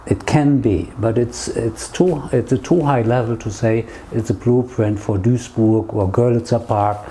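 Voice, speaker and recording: A man's voice: mid-range voice, a little slow, warm tone. A man with a German accent answering conversationally as an interview guest, in short emphatic phrases often tagged with a questioning "yeah?". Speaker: interview guest, neighbourhood tour guide. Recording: clear background noise, ambient sound.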